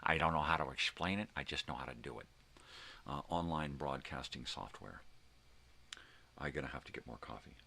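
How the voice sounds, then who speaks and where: An older man with a very low voice full of fry and gravel. He chats casually to a livestream audience, rambling and thinking out loud in a folksy, homespun way. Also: normal speed, long pauses, slight background noise. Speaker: host of a daily livestream show.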